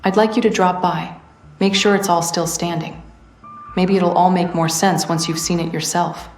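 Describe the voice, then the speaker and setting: Actress doing a tough tired rebel veteran lady from a video game, low voice, plain talker, bit of room echo. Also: steady tone, moderate pace, darkish tone, close to an everyday voice. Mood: somber, reflective. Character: weary, tough resistance veteran woman handing out missions. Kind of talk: video game character dialogue.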